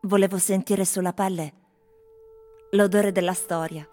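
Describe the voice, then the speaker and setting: A woman playing foreign tourists in a scripted radio documentary theatre piece, reciting their answers in the first person with clear phrasing. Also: medium pitch, steady tone, unhurried, dark tone, natural voice. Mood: wistful, earnest. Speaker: actress voicing translated interview answers. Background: music.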